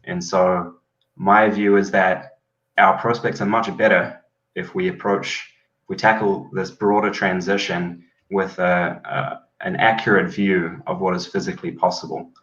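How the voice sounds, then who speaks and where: Deep-voiced New Zealand man, muffled call. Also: flat delivery, measured pace, fairly bright tone. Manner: fluent, earnest, technical. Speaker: PhD student guest. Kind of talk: explaining his research.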